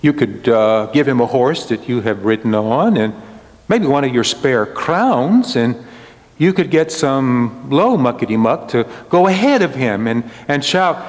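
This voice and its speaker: A scheming royal courtier, played by a man in a comic Bible story, fawning and hesitant. He talks slowly and gets flustered, with long hall reverberation around him. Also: medium pitch, lively intonation, lightly acted. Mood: eager, self-serving.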